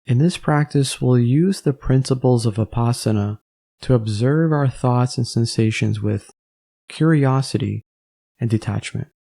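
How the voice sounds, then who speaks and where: A man leads a guided meditation at a very slow, measured pace. His voice is low-key and mellow, with a creaky fry running through it. He speaks gently as an instructor, leaving space between phrases.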